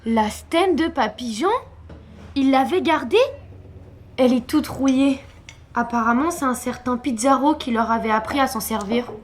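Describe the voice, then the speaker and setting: Woman plays curious conversational storyteller, highish voice, faint background noise. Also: moderate pace, lightly acted. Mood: chatty curiosity. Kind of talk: conversational audio drama.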